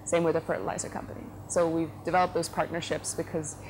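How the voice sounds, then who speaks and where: A middle-aged woman from the Netherlands, a company head giving thoughtful interview answers, often pausing with fillers and correcting herself. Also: low-pitched, flat delivery. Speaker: company CEO, interview guest. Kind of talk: relaxed conversational interview answers. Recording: noticeable background noise.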